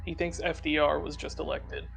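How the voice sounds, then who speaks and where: Male game player, dry and deadpan, flat casual delivery, faint music in the background. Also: on the high side, moderate pace, vocal fry, low-quality recording. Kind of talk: casual in-character game chat.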